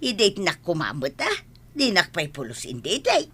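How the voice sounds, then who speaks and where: A woman playing an aggrieved, plaintive village wife in an Ilocano comedy radio drama, complaining in a drawn-out, dramatic way. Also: on the low side, wildly swooping pitch, a bit bright, breathy edge, full character voice. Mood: nagging.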